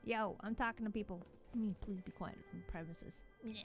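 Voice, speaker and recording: A woman's voice: mid-range voice, brisk, faint music behind. A woman streaming a video game, chatting playfully and casually as she plays. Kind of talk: casual gameplay commentary.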